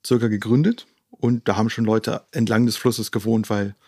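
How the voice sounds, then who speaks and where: A man's voice: on the low side, flat delivery, moderate pace, dark tone, no room sound, studio quality. A man from Germany explaining things in detail as an interview guest, often checking in with a short tag question. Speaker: conservation organisation co-founder, interview guest.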